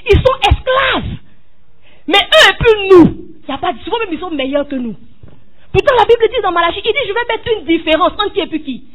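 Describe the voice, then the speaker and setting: A woman's voice: extremely high pitch, very animated, a little fast, fairly bright tone, muffled recording. A woman preaching an impassioned sermon, tossing questions to the congregation and rising to cries.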